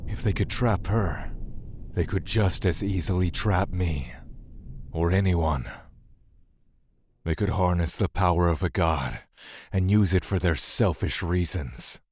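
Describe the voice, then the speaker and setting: An actor playing a brooding, bitter male god in an audio drama, grieving and vengeful, speaking slowly with cold menace. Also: deep voice, a little mellow, husky, no echo. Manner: slow, deliberate, cold menace.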